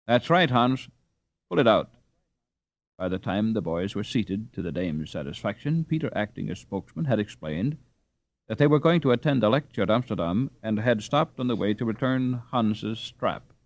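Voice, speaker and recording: A man reading a story aloud as an audiobook narrator, in an expressive scripted style. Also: fairly low pitch, normal speed, no room sound.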